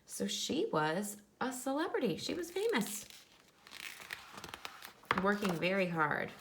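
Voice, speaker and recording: A woman's voice: mid-range voice, very animated, takes it slowly, gravelly, fairly bright tone, a little hiss or hum. A woman teacher reading a nonfiction book aloud for children, instructive and explaining things in simple terms.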